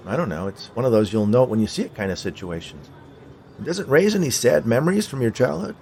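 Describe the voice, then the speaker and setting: A man's voice: mid-range voice, animated, fast talker, creaky, darkish tone, a little hiss or hum, pitched above the performer's own voice. A man voicing a chatty, bumbling, unfazed skeleton guide in a story reading. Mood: prodding, casual.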